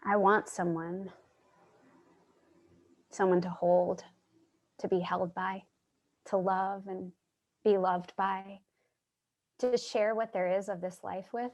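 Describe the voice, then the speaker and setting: Slow-spoken, wistful dreamer played by a woman. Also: medium pitch, level tone, darkish tone. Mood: wistful, tender. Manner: earnest, lingering over phrases, dreamily effusive. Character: wistful, dreamy young homeless woman named Charlie. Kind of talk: staged play reading, monologue and dialogue. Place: remote reading over video call.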